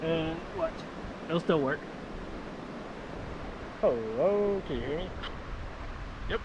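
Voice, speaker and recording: Male vlogger, higher-than-average voice, informal off-the-cuff demo delivery, windy and noisy outdoor action-camera sound. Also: expressive, slow, lo-fi sound. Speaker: vlogger demonstrating marine VHF radios. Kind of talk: casual, improvised product demonstration. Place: outdoors by the water. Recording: windy outdoor recording with water noise.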